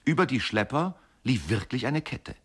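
A man acting as a reflective narrator in a literary radio play, telling his story in a measured, expressive way. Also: mid-range voice, husky. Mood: puzzled, wondering. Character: reflective male narrator recalling a riverside boyhood.

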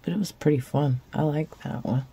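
A woman hosting a resin craft video, raspy after a concert, chatting in a rambling, self-deprecating way while she works. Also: sits low, normal speed, mellow. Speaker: resin craft channel host. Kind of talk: casual chat while crafting. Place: craft table indoors.

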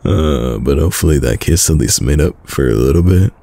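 A man playing a tender, teasing boyfriend in an intimate, soft-spoken ASMR roleplay, with a very deep, gravelly voice. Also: animated, full character voice. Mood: tender, playful. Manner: slow, soft-spoken, soothing, affectionate. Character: tender, teasing young boyfriend caring for sick partner. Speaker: voice actor.

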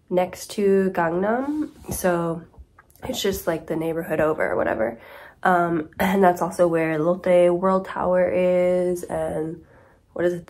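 Slow-talking congested young woman. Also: on the low side. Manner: chatty, rambling. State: congested from a cold. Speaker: vlogger. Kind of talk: casual chat to camera. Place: small apartment room with kitchen, indoors.

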